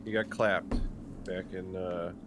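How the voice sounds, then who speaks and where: A man's voice: low voice, steady tone, takes it slowly. A man chatting casually as a player on a tabletop roleplaying show. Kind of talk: casual chat.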